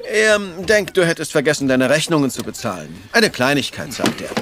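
Teasing gent in old radio mystery, high voice swooping all over, noisy background. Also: male voice, big pitch swings, moderate pace. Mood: amused, teasing. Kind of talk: retro German crime radio play. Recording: background noise.

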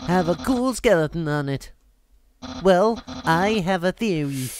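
A woman's voice: lowish voice, lively intonation, measured pace. A woman voicing a goofy, boastful yet earnest male skeleton in a theatrical, declaiming manner for a video game dub. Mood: enthusiastic.

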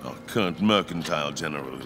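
A man playing a solemn, grandiose fantasy king in an audio drama, speaking formally and deliberately. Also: a bass voice, music in the background. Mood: solemn, weighty. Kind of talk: theatrical audio drama acting.

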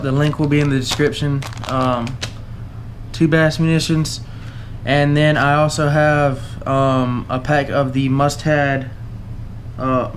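A man's voice: medium pitch, slight background noise. A young man with a Southern US accent, hosting his fishing channel and casually walking through tackle for a giveaway, with plenty of uh and um. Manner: relaxed, halting, lots of uh and um. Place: indoors at a table, camera overhead.

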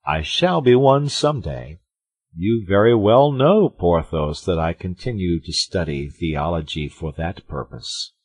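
Man as a mild, patient musketeer, slightly husky. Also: deep voice, lively intonation, dry recording, full character voice. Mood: calm assurance. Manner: measured, understated. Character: mild, patient young male musketeer with priestly ambitions, quietly proud. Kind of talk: audiobook character acting.

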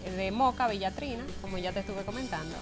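A woman answering interview questions in a soft-spoken, conversational way. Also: mid-range voice, quick pace, over music. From Dominican Republic. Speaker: interview guest.

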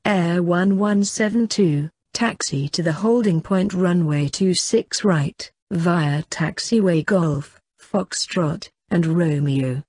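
A woman reading scripted air traffic control instructions in a measured, formulaic way. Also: on the low side, darkish tone, slightly rough, no echo.

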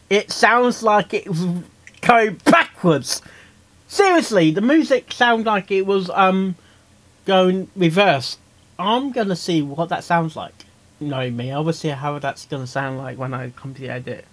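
A man's voice: sits high, lively intonation, a little slow, fairly bright tone. A man hosting a casual reaction video, talking in a rambling, repetitive way. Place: small cluttered room indoors.

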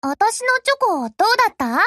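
A woman's voice: extremely high pitch, very animated, fairly quick, a bit bright, dry recording, clean studio sound, heavily acted. A woman voicing a sweet, polite young anime girl in a game, with a cutesy, careful manner. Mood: shy, then excited. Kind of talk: Japanese mobile game character lines.

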